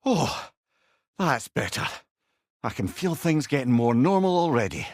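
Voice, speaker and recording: A man's voice: mid-range voice, very animated, a little slow, no echo, clean studio sound. An older man with a Scottish accent playing a wry, eloquent old companion in a video game. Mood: wry, conversational. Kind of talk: video game character dialogue.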